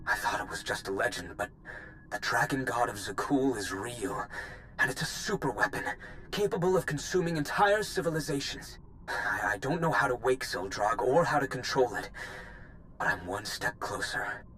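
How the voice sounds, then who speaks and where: A man's voice: medium pitch, animated, normal speed, fairly bright tone, slight background noise, close to an everyday voice, low-quality recording. Intense, vengeful male conspirator played by an actor. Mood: awed, excited. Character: obsessed vengeful male conspirator, zealous and driven. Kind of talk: dramatic video game cutscene acting.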